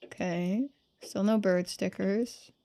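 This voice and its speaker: A woman's voice: medium pitch, fairly even intonation, slow pace, warm tone. A woman streaming a game, giving casual commentary as she thinks aloud.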